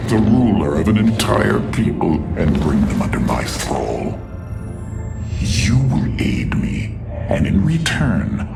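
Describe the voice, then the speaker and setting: An actor playing a proud, scheming alien warlord in a video game, haughty and theatrical in his gravelly delivery. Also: sits low, exaggerated voice. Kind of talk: dramatic video game cutscene acting.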